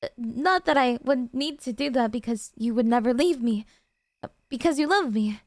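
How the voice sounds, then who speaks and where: A woman's voice: on the high side, normal speed, no echo, clean studio sound, natural voice. A woman playing an obsessive, possessive yandere girlfriend in an intimate ASMR roleplay, talking in a coy, cutesy, hesitant way to her sweetheart. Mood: clingy adoring.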